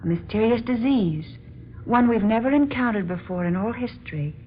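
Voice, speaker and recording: A woman's voice: on the high side, lively intonation, normal speed, natural voice. A woman playing a gracious, earnest young princess in a radio drama, speaking with formal, courtly diction. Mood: grave, pleading. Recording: muffled, noisy old-time radio recording.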